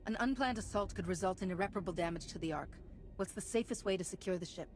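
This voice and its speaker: A woman playing a composed, resolute Jedi knight in a video game, measured and matter-of-fact. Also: mid-range voice, soft background music, lightly acted. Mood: businesslike. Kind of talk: video game cutscene acting.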